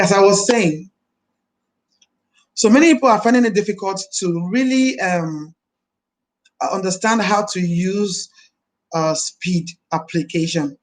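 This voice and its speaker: A woman in her thirties, a minister, chatting on a live stream. Her voice is deep this morning, and she talks in a friendly way, repeating phrases and blessing her viewers. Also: rough recording.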